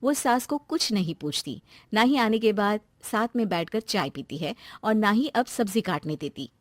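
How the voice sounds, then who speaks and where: A woman's voice: mid-range voice, lively intonation, normal speed, no echo. A woman narrating a scripted moral story as the storyteller.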